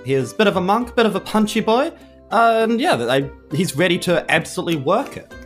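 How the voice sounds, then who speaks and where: A man's voice: sits high, very animated, brisk, a bit bright, faint music behind. A man, chatting casually as a player in a tabletop game.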